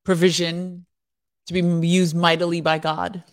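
A co-host of a faith podcast live-stream, chatting casually with quick, playful questions, on a desk microphone. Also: medium pitch, level tone.

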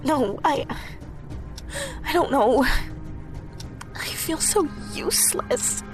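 An actress playing a distressed, apologetic young activist in an audio drama, speaking hesitantly. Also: female voice, high voice, big pitch swings, slow, music in the background, full character voice. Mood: distraught. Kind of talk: naturalistic audio drama acting.

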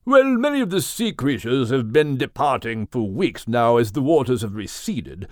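A woman voicing a kindly, dignified male whale with a British accent and formal, measured manners in an audiobook. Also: rumbling low, big pitch swings, dry recording, clean studio sound. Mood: regretful. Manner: formal, measured, hesitant courtesy.

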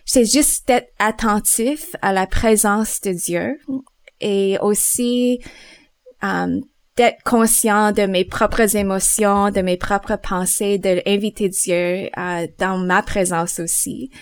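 A woman's voice: mid-range voice, fairly even intonation, slow pace, no echo. A woman, a mother at home, answering interview questions on faith thoughtfully and hesitantly. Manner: hesitant, searching for words, soft laughs.

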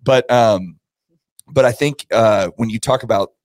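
A man's voice: on the low side, measured pace, darkish tone, no room sound. A middle-aged American man hosting a podcast, talking casually and rambling as he thinks aloud. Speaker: podcast host. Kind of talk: casual conversational interviewing.

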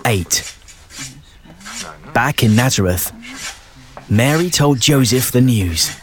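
A man narrating a rhyming children's bedtime story in a measured storytelling delivery with deliberate pauses. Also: mid-range voice, lively intonation. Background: festive music and sound effects.

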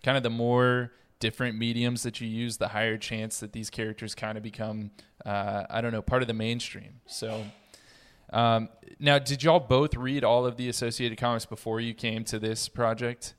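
A man's voice: mid-range voice, fairly even intonation, normal speed, smooth. Male podcast moderator, mid-range and very clean in tone, conversational. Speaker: podcast host. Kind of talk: casual discussion moderating.

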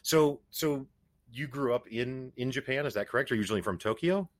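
A man's voice: mid-range voice, a little fast, no echo, studio quality. A man hosting an interview podcast, talking casually with stammering restarts and easygoing asides. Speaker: podcast host.

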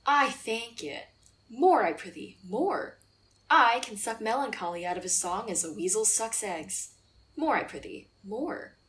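A woman's voice: mid-range voice, expressive, measured pace, fairly bright tone, slight background noise. A woman playing a melancholy, sardonic male courtier in a Shakespeare radio play, with a wry, insistent manner. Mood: eager, insistent. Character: melancholy, sardonic courtier, a brooding cynical man.

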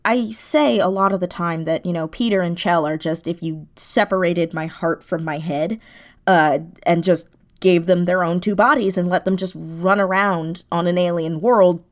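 A woman speaking casually as an interview guest, animated and self-deprecating, and quick to laugh. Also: lowish voice. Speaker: interview guest, audio drama writer and performer.

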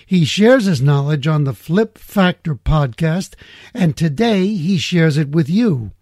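A middle-aged man hosting a podcast, reading a measured intro and chatting easily, laughing often. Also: on the high side, animated, a little mellow, no echo, clean studio sound. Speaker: podcast host. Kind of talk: scripted intro then casual interview.

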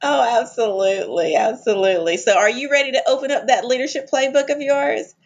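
A woman's voice: fairly high pitch, fairly quick. A woman hosting a podcast, reading a scripted intro and then interviewing with enthusiasm. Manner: upbeat and enthusiastic. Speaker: podcast host.

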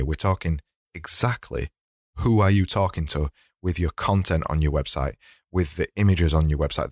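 A man hosting a casual solo podcast, his voice slightly hoarse and fading, rambling and correcting himself as he talks. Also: low voice, dry recording, studio quality. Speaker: podcast host.

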